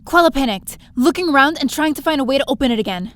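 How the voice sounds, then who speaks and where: A woman's voice: sits high, animated, fast talker, background noise. A woman playing a young space adventurer, telling her own story expressively in an audio drama. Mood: tense, dramatic. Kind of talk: first-person audio drama narration.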